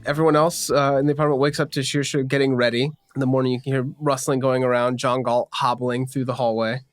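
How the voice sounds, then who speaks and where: A man's voice: medium pitch, level tone, brisk, faint music behind. A man casually narrating an improvised tabletop game as game master.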